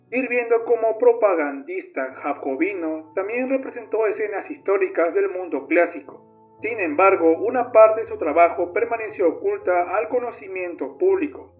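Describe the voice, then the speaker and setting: A high-pitched male narrator delivers scripted horror documentary material. His voice comes through a dull, lo-fi recording, with music playing behind him. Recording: dull lo-fi sound under music.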